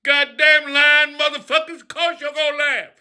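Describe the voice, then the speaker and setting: A man playing a profane, loudmouthed character who rants and shouts in a comedy bit. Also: very high-pitched, monotone, normal speed, pitched above the performer's own voice, exaggerated voice. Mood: ranting. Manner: profane ranting, shouting, mocking. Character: foul-mouthed loudmouth man named Crazy Rufus.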